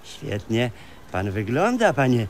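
An actor playing a blunt, teasing neighbour in a comic radio play. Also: male voice, medium pitch, very animated, slow pace. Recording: faintly noisy radio recording.

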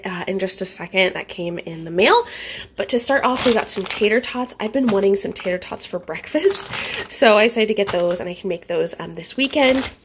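A woman's voice: mid-range voice, slight background noise. Congested woman chatting on a phone vlog. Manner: chatty, frequent ums and little laughs. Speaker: vlogger. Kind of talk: casual vlog chat. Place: parked car, then home kitchen. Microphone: phone camera at arm's length.

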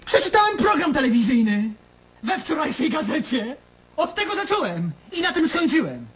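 A man's voice: very high voice, huge pitch swings, moderate pace, a bit bright, heavily acted. An actor playing an anguished, searching male patient in a radio drama, with a plaintive, weary, questioning manner. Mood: defiant.

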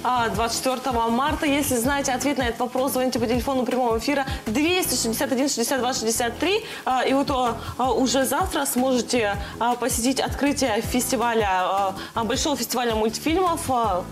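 A woman's voice: on the high side, fast talker, few pauses, music in the background. A woman in her thirties co-hosting a live morning show from a TV studio. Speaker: TV co-host. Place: TV studio, seated. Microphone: lapel mic.

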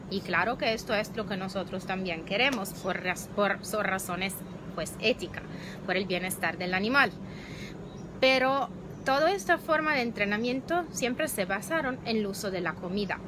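A woman in her thirties, a native Italian speaker, talks about dog research in Spanish on a live phone stream, pausing often to search for words. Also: mid-range voice. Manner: searching for words, slow and hesitant. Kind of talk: live video chat. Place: outdoor patio. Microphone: phone at arm's length. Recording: noisy outdoor phone stream.

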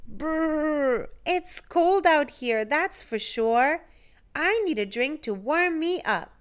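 A cheerful, shivery snowman from a picture book, acted out by a woman. The voice is high and slow, with big swings in pitch. Words are exclaimed and stretched out dramatically. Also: expressive. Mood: shivering, complaining.

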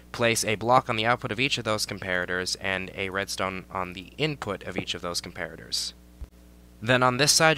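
A man's voice: lowish voice, pushed and bright, clean tone. A young man giving a step-by-step game building tutorial as a voice-over, his voice a little off from a recent cold. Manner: step-by-step instructional, repeats "you're gonna wanna". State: recovering from a cold. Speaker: tutorial creator.

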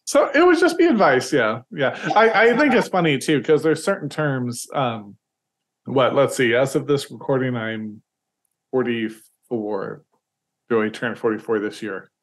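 A man's voice: medium pitch, expressive, unhurried. Chatty man in his forties hosting. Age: 40s. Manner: chatty, rambling, corrects himself as he goes. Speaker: podcast host. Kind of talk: casual podcast chat.

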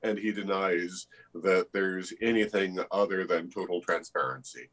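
An older man, an actor giving reflective, articulate interview answers over a video call in measured phrases. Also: low-pitched, fairly bright tone. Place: indoor room with bookshelves.

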